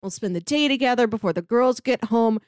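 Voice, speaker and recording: Woman, podcast co-host, fairly high voice, animated, acts out quotes, dry close sound. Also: no room sound. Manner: animated storyteller, acts out quotes, quick asides, laughs often. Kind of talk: casual recap chat.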